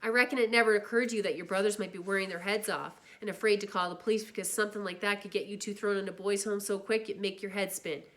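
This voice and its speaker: A woman reading a novel aloud, voicing a stern, angry young man who scolds and shouts at his younger brother. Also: mid-range voice, fairly even intonation, quick pace, few pauses, lightly acted. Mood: shouting.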